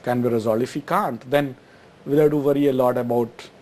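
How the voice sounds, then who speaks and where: A man's voice: mid-range voice, fairly even intonation, brisk, a little mellow. A middle-aged man from Gujarat, answering interview questions with many fillers and restarts. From Gujarat, India. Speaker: guest, exchange chief executive.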